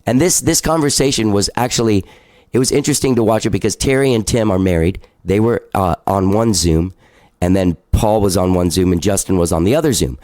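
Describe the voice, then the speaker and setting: A man's voice: fairly low pitch, brisk, a little mellow, no room sound. A male podcast host with a stuffed-up nose, chatting casually and loosely, swearing now and then and laughing at himself.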